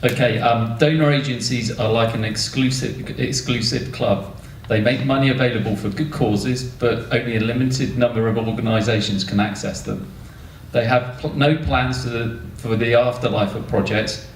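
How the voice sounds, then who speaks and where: A man's voice: medium pitch, steady tone, normal speed. A British man speaking informally as a panelist at a public event. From United Kingdom. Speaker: panel speaker from an environmental agency. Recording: echoey room, distant mic, faint noise.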